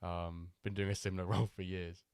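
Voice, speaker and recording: A man hosting a live stream, chatting loosely with many pauses and fillers, still a little nasal from a cold. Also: sits low, little pitch movement, clear, resonant tone, dry recording, studio quality. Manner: chatty, rambling, with many ums and restarts. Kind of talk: casual live-stream chat.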